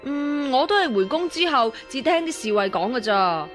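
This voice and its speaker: An actress playing a spoiled young princess who wheedles and pouts, in Hong Kong Cantonese radio drama style. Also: female voice, high-pitched, big pitch swings, normal speed, fairly bright tone, faint music behind. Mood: childish, insistent. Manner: whiny, pouty, coaxing.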